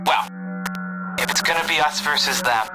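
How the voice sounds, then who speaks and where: A paranoid conspiracy theorist broadcasting after the end of the world. His voice sits a little high and comes through a radio filter. He talks urgently and insinuatingly right at the listener, with prominent music underneath. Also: normal speed. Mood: urgent, accusing. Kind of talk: post-apocalyptic radio drama monologue.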